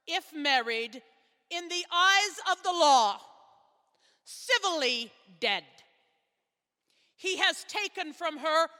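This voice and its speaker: A woman in a readers theater playing a resolute 1840s suffragist, declaiming solemnly and emphatically in short, halting phrases. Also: high-pitched, bright, forceful tone, big-room sound, heavily acted.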